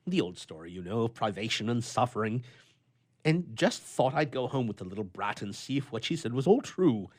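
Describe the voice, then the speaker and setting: A man playing a grumpy, flustered bachelor with a soft heart, telling his tale with theatrical bluster and stammering in old-fashioned storybook prose. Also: mid-range voice, big pitch swings, fairly quick. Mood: flustered, defensive.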